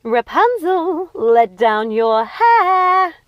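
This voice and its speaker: A woman's voice: very high voice, sing-song, extremely slow, no room sound, muffled recording, voice pushed up, exaggerated voice. A woman voicing a theatrical, scheming witch mother from a storybook, falsely sweet, sing-song and easily exasperated. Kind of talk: storybook character voice.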